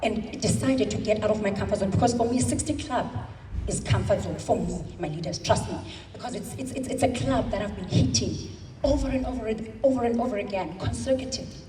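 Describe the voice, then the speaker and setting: A woman's voice: mid-range voice, warm tone, slight background noise. South African woman speaking in an echoing hall. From South Africa, Eastern Cape. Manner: emphatic, with dramatic slow pauses. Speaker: convention speaker. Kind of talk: motivational speech. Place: large hall. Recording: echoey hall, speaker far from mic.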